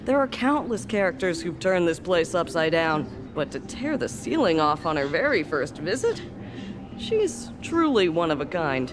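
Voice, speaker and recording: A woman's voice: on the low side, big pitch swings, moderate pace. A woman voicing a sardonic, exasperated female doctor in a game, speaking in a sarcastic, weary way. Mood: recalling. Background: game music.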